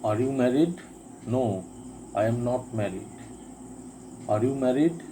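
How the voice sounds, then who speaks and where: A man teaching a spoken lesson, reading each drill sentence twice with deliberate care. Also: medium pitch, background noise. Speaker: English teacher. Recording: crickets and insects chirping behind.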